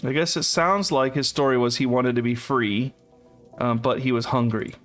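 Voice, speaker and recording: A man's voice: mid-range voice, fairly even intonation, moderate pace, a bit bright. A man casually commenting on his co-op game as he plays, musing aloud and laughing often. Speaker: let's play host. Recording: game music behind voice.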